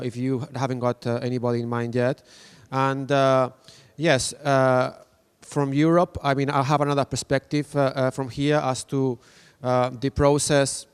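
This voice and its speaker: A man speaking at a conference in a smooth, clear voice. His pitch stays flat and even while he hesitates often, filling his pauses with uh and searching for English phrasing. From Spain. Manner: hesitant, many uh fillers, non-native phrasing. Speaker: international team member. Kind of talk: conference presentation. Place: conference room.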